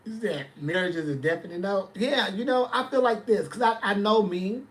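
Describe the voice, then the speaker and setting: A man's voice: high-pitched, moderate pace. Sassy man in his forties, chatting live. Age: 40s. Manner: sassy, chatty, reads and answers comments. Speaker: livestream host. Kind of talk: casual livestream chat.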